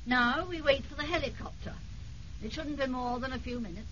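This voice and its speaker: An actress playing a coldly polite aristocratic villainess in a radio drama, commanding and courteous. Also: female voice, high-pitched, fairly quick, fairly bright tone, breathy edge. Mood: icily polite. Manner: genteel, commanding, icily courteous. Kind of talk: 1970s radio drama acting. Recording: dull 1970s radio broadcast recording.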